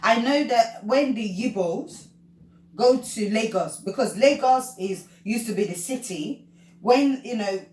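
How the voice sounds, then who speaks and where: A young woman hosting a casual chat, talking in quick questions and laughing often. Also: fairly high pitch, lively intonation, a little mellow. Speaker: host. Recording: roomy, from far off.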